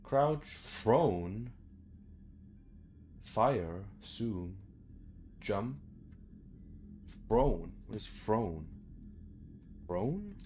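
Low-voiced young man playing games. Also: sing-song, drawn-out words, pauses often, dark tone, a little hiss or hum. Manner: sweary, muttering to himself. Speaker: gaming video host. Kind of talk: casual let's play commentary. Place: small room indoors at home.

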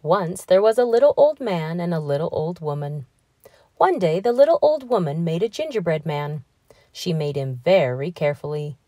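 A woman narrating a children's story as an expressive read-aloud host. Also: fairly low pitch, moderate pace, no echo. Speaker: storybook reader, channel host.

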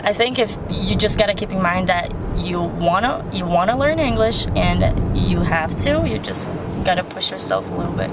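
Young brazilian woman, relaxed and jokey, lots of car and outdoor noise. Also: lowish voice, a little slow. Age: young adult. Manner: relaxed, joking, fluent in both languages. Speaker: guest friend. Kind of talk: casual bilingual chat. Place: car, then outdoors in a park.

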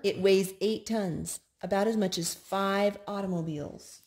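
A woman reading children's picture books aloud in an expressive, measured storytime style. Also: mid-range voice. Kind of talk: read-aloud storytime narration. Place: indoors at home.

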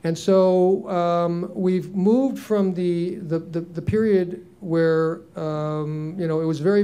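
A man's voice: on the high side, dark tone, clear, resonant tone. A man in his sixties answering on a conference panel, earnest and reflective, with frequent ums and restarts into a handheld mic. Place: conference room, seated on stage.

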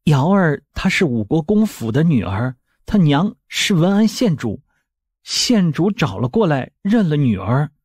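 A man's voice: on the high side, very animated, a little slow, a little mellow, slightly rough, no room sound, clean studio sound, voice pushed up, full character voice. A man voicing a timid, anxious young husband in an audiobook, speaking in a subdued, earnest way. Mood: explaining uneasily. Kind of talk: audiobook character acting.

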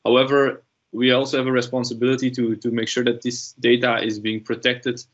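A man in his sixties speaks as an industry panelist from his study, steady and measured with occasional fillers. His mid-range voice reaches us through a dull, lo-fi video call. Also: mid-range voice. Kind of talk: panel discussion. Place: home study with bookshelves. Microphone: laptop webcam video call.

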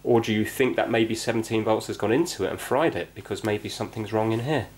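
A man's voice: on the low side, quick pace. A man giving casual hands-on repair commentary, thinking aloud and often checking himself with questions. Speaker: amateur repair video host. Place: indoors on a wooden floor. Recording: clicky, noisy room recording.

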